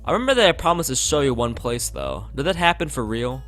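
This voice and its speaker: A man voicing a forgetful, quietly odd schoolgirl in a visual novel, with a halting, puzzled, offhand delivery over music. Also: medium pitch, big pitch swings. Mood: vague, musing. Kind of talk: visual novel read-aloud.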